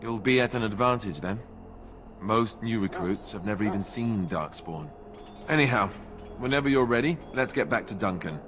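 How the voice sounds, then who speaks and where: An actor playing a sarcastic, self-deprecating young warrior in a video game, with music behind him. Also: male voice, on the low side, moderate pace, lightly acted. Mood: friendly banter. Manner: sarcastic, quipping. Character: wry, self-deprecating young male warrior monk-turned-knight. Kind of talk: video game dialogue acting.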